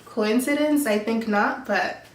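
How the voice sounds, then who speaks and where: A woman's voice: fairly high pitch, unhurried, a little hiss or hum. A woman in her early twenties from Mexico, co-hosting a casual Q&A vlog while sick, chatty and giggly. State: sick, voice affected. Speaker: vlog co-host. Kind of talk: casual Q&A chat. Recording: echoey room, far from the mic.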